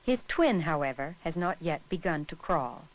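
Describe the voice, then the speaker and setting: Low-pitched woman narrating an educational film. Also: lively intonation, low-quality recording. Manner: measured, instructional.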